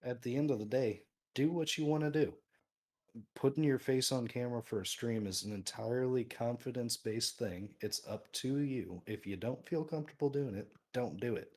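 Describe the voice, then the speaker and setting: A man guesting on a podcast with a deep radio-like voice, easygoing and self-deprecating in casual chat. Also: moderate pace, a little mellow. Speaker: guest streamer.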